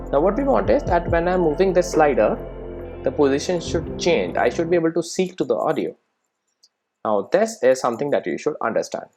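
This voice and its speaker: A person giving an informal live coding tutorial, thinking aloud and often checking in with "right" and "okay". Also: medium pitch. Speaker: programming tutorial presenter.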